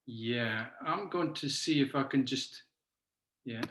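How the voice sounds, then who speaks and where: A man's voice: medium pitch, slow pace, fairly bright tone, slight background noise. A man in his sixties with a Scottish accent, giving an online talk as a teacher with frequent ums and uhs. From Scotland. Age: 60s. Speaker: macrobiotics teacher. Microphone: video call. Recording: roomy echo.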